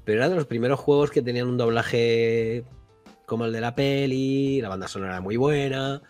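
A man's voice: medium pitch, a little fast, a little mellow. A man with an accent from Spain hosting a casual retro games chat, talking in a colloquial, rambling, jokey way. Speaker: podcast host. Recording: background music behind voice.

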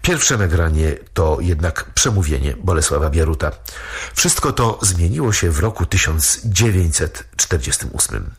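A man narrating a documentary in a formal, measured way. His voice is deep and very husky. Every sentence sounds carefully scripted. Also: animated.

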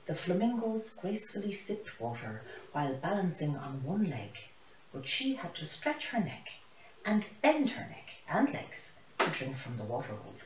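Animated older woman reading a story. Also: low-pitched. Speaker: storybook reader. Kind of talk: expressive children's story reading. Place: living room, indoors. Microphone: camera across the room. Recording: roomy, mic some distance away.

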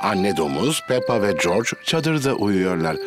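A friendly narrator telling a children's cartoon story in a clear storybook style. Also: low voice, big pitch swings, a little slow. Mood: storytelling. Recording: prominent music behind the voice.

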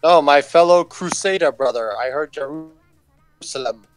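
A man's voice: on the high side, measured pace, smooth, soft background music. A man in casual conversation as a guest on a livestream. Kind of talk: casual chat.

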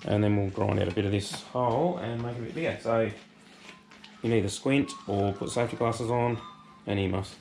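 An Australian man, hoarse from a cold, chatting casually through a workshop how-to while he works on metal. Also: lowish voice, brisk. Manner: relaxed, chatty, thinks aloud with fillers. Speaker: host. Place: home workshop shed. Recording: noisy workshop with tool clatter.

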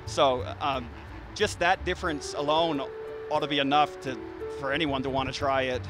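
A man's voice: medium pitch, moderate pace, over music, low-quality recording. A man in his thirties, a beekeeper, talking casually outdoors into a handheld mic, with plenty of restarts and fillers. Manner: relaxed, frequent restarts and fillers. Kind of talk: casual explanation to an interviewer. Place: outdoors by beehives in a garden. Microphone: handheld interview mic.

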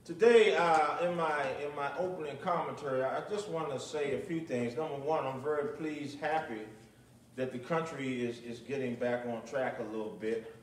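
A man's voice: medium pitch, fairly even intonation, normal speed, slight background noise. Echoey middle-aged male pastor at a pulpit. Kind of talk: sermon with prayer and commentary. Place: small, nearly empty church, at a pulpit indoors. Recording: echoey church, distant microphone.

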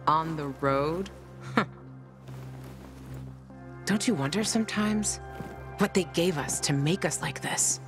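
A woman's voice: low-pitched, slow, long pauses, over music. An actress voicing a blunt, tough, foul-mouthed young woman fighter, wry and loyal, in a video game. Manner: blunt, swearing, conversational. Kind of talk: video game dialogue acting.

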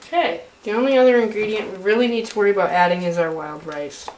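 A woman's voice: mid-range voice, quick pace. Chatty woman cooking in an echoey kitchen. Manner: chatty, joking asides to the camera. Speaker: home cooking vlogger. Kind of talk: casual cooking demo. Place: home kitchen, indoors. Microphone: camera mic from a few feet away. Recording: echoey kitchen, distant camera mic, noisy.

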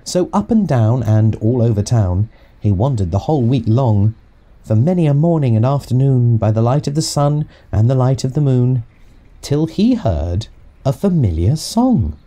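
A man reads a rhyming picture book for young children. His voice is mellow and medium in pitch, with very lively intonation. He keeps a clear, expressive rhythm through the verse. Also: normal speed. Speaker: storyteller reading to young children. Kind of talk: scripted children's audiobook narration.

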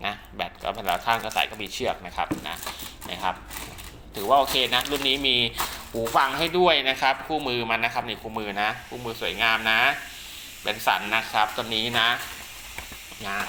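A man's voice: on the low side, moderate pace. A man giving a casual product demonstration as a seller, rambling and repeating himself with frequent polite tags. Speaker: radio seller and channel host presenting products. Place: at home during heavy rain. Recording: loud rain noise behind the voice. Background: heavy rain.

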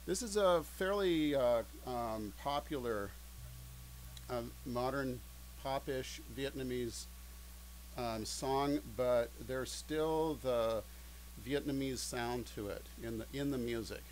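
An older man informally introducing a piece of music in a halting, hesitant way, as a musician at home. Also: medium pitch, rough recording. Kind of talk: informal spoken introduction to a song. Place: indoors, cluttered home study. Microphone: video call, mic at a short distance. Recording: video call with background hum.

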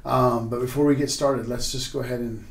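Male pastor host, casting: mid-pitched, friendly, filler-heavy, roomy echo. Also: steady tone, a little fast, gravelly, slight background noise. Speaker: pastor and interviewer. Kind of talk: interview and spoken prayer.

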